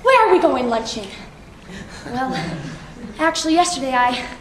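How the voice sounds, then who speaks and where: A young woman playing a flustered, insecure student making a halting, self-conscious speech on stage. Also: high-pitched, sing-song. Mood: rambling. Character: flustered, insecure schoolgirl giving an unprepared speech. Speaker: student actor. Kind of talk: theatrical stage monologue. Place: theatre workshop performance space. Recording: echoey room from far off, faint noise.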